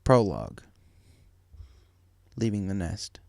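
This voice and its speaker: A man's voice: low voice, big pitch swings, slow pace, gravelly, darkish tone, no echo. A man reading a story aloud as scripted narration. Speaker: fan fiction reader.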